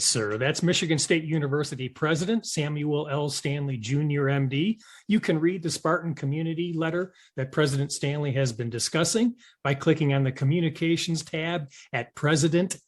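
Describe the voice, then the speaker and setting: A man with a slightly high voice hosts an interview program. His delivery is scripted, moving through prepared questions and announcements. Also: normal speed, few pauses. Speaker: interview show host.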